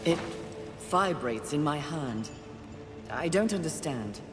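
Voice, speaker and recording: Low-pitched, haughty warrior woman in echoing reverb. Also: animated, slow pace. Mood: puzzled. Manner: curt, haughty, commanding. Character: fierce, proud, impatient female horseman warrior. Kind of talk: dramatic video game voice acting. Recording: echoey, long reverb, music underneath.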